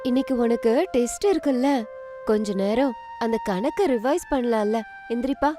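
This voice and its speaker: A woman voicing a caring, dutiful young mother and meek daughter-in-law, gentle and coaxing, in a story. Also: a little high, normal speed, soft background music, close to an everyday voice.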